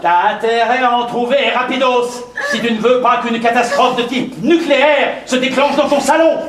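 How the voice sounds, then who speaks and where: An actor playing an exasperated, scheming husband in a theatrical French farce, sarcastic and emphatic. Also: male voice, fairly high pitch, expressive, normal speed, lightly acted. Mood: sarcastic, insistent. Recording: echoey stage, noisy background.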